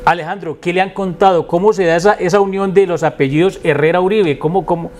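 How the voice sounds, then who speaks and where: A man's voice: sits high, steady tone, fast, darkish tone, a little hiss or hum. A man with a Colombian accent hosting a conversational interview in a courteous, effusive and wordy manner. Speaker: interview show host.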